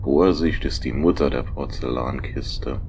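A man's voice: very deep voice, measured pace, a little mellow, a little hiss or hum. A man playing an apathetic, spaced-out driver in an audio drama parody, sluggish and listless.

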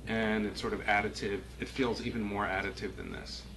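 Male board member, lowish voice, tentative and searching delivery, small meeting room with clear noise. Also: fairly even intonation, fairly bright tone. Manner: halting, hedging, thinking aloud. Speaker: architectural review board member. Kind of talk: spontaneous critique at a public meeting. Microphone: distant room camera. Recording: noisy meeting-room recording.

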